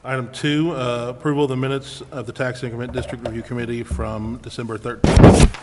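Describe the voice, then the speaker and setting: Male committee chair, procedural and even in pitch, recorded in a reverberant chamber. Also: brisk. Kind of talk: formal meeting procedure. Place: large indoor council chamber. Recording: reverberant council chamber, faint background noise.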